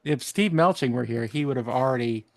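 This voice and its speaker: A man's voice: mid-range voice, fairly quick, vocal fry, a crowd in the background. A man co-hosting a podcast with casual banter and dry joking. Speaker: podcast co-host.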